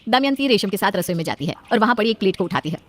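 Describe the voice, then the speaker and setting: A woman's voice: mid-range voice, lively intonation, rapid-fire. A woman reading scripted narration for a domestic family story.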